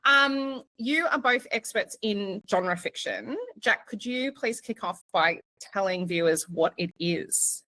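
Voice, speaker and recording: A woman's voice: mid-range voice, takes it slowly, hardly any pauses, pushed and bright, dry recording. A woman interviewing guests in a conversational panel style.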